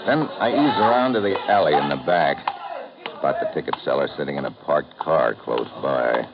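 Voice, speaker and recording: A man's voice: very deep voice, lively intonation, moderate pace. An actor playing a tough American agent in a 1950s radio drama, narrating his case in a clipped, dry manner. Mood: terse. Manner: clipped, dry, hard-boiled. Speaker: lead and narrator. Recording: dull old radio broadcast with music.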